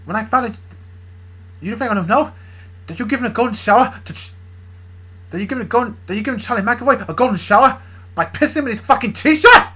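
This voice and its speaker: A woman's voice: fairly high pitch, animated, fast, long pauses, pushed and bright, husky, voice pushed up, heavily acted. A woman voicing an angry, controlling father in a story, sputtering, threatening and repeating himself.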